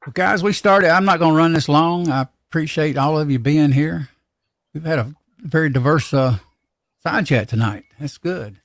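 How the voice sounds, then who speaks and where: Higher-voiced man hosting, dry close sound. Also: moderate pace, no room sound. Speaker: livestream host. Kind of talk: casual live chat.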